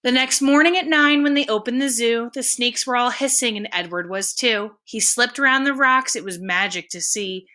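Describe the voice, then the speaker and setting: A woman's voice: a little high, moderate pace, hardly any pauses, sharp tone. A woman reading a rhyming children's picture book aloud. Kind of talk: read-aloud storybook narration in rhyme.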